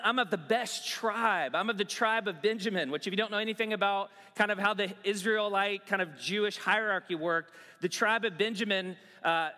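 A pastor preaching a sermon in a conversational way, mixing scripture with pop-culture asides. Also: male voice, high-pitched, bright, forceful tone, big-room sound.

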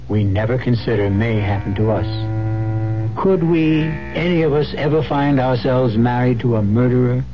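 A man playing an ominous storyteller, narrating a radio mystery in a portentous, deliberate manner. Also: medium pitch, vocal fry. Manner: portentous, deliberate rhetorical delivery. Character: ominous male storyteller introducing terror tales. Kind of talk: dramatic scripted radio narration. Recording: dull old radio recording with music.

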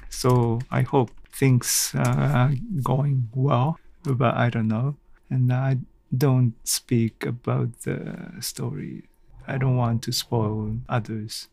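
A man from Japan, a native Japanese speaker with a Japanese accent, hosting a casual solo podcast and haltingly thinking aloud. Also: mid-range voice, little pitch movement, creaky, a little mellow. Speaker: podcast host.

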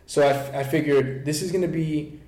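A man's voice: fairly high pitch, a little fast, roomy sound. A man hosting a casual conversation, talking with energy and often checking "right?". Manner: energetic, keeps saying "right?". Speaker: host.

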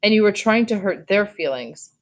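A stern male school official from a children's novel, read by a woman narrator. He is measured and admonishing, laying things out firmly and deliberately. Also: medium pitch, animated, close to an everyday voice.

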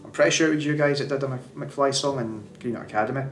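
Fast-talking Scottish podcast host. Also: male voice, mid-range voice, a little hiss or hum. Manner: rapid, rambling questions with fillers. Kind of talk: casual interview chat. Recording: echoey room.

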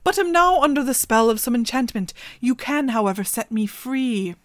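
Brief: enchanted raven princess, lilting and high, lively rise and fall, a woman reading it aloud, dry sound. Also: moderate pace, no room sound, close to an everyday voice. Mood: pleading. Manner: lilting, higher put-on voice.

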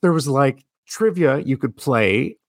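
Gravelly-voiced man chatting casually. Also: mid-range voice, expressive, unhurried, no room sound, clean studio sound. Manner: chatty, reminiscing, talks to live chat. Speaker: podcast co-host. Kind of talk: casual chat.

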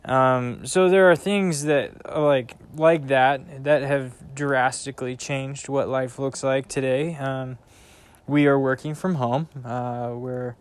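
A man who works as a youth pastor, talking casually in a slow, thoughtful way with many ums, recorded outdoors by a backyard bonfire. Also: medium pitch, fairly even intonation, smooth. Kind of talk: casual conversation.

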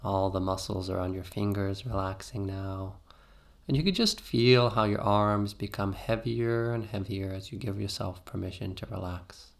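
Low-voiced man guiding a meditation. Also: vocal fry. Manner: soothing, gently instructive with pauses. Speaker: meditation guide. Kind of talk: guided meditation narration.

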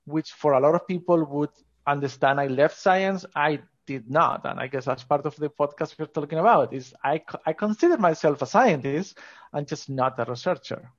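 A man's voice: on the high side, moderate pace, highs cut off. A man from northern Spain with a Spanish accent, talking enthusiastically and informally as a guest in a conversational interview. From Asturias, northern Spain. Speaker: podcast guest, scientist.

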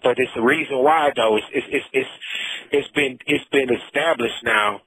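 A man speaks over a rough phone line in a husky, forceful voice. His talk is casual and insistent, with phrases repeated and punctuated by "man". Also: mid-range voice, normal speed, no echo. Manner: insistent, repeats himself, says "man" often. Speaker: prison inmate telling his story. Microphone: telephone.

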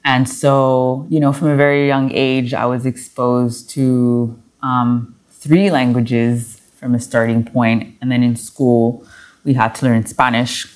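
A woman's voice: a bass voice, flat delivery, slow pace, a little mellow. A woman from Montreal in her thirties, speaking as a podcast guest in a loose, rambling way with many fillers and restarts. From Montreal, Canada. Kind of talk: casual interview talk.

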